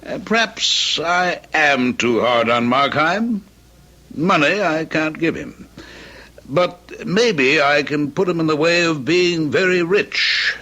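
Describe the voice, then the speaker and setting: An actor playing a stern, tight-fisted middle-aged father and lawyer in a radio drama, curt and deliberate. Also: a little high, bright, forceful tone, heavily acted. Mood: calculating, persuasive. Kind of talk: old-time radio drama acting.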